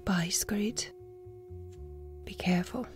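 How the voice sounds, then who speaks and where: A woman's voice: medium pitch, takes it slowly, soft background music, lightly acted. A woman playing a tearful, worried young friend. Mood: hushed. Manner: breathy, hushed and worried.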